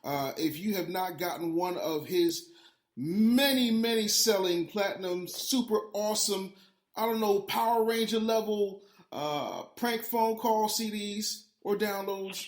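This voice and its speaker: High-voiced man hosting in an echoey room. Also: moderate pace. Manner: hyped, slangy, effusive. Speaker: podcast host. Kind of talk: casual interview and ad read. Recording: roomy, echoey sound.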